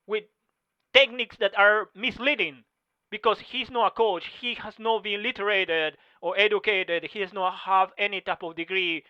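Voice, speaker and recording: A middle-aged man whose first language is neither English nor French, giving halting testimony full of pauses and restarts over a video call. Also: high voice, clean tone, no echo. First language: not English or French. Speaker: Masters track and field athlete. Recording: slightly muffled video call audio.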